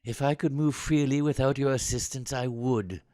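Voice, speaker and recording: A man acting as a well-bred young British army man in a wartime radio play. His upper-class English speech is clipped and superior, loaded with sarcasm. The voice sits at a medium pitch, recorded very dry and close. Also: steady tone, normal speed, warm tone, no echo, natural voice. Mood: sarcastic. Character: posh, well-bred, injured young British soldier, haughty. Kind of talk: audio drama acting.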